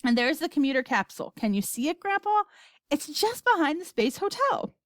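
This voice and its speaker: Woman playing an assured, eccentric gentleman inventor, lively intonation. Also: sits high, brisk, dry recording, clean studio sound, pitched above the performer's own voice. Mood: cheerful. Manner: stern, formal, assured. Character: unflappable, eccentric gentleman inventor, brisk and confident.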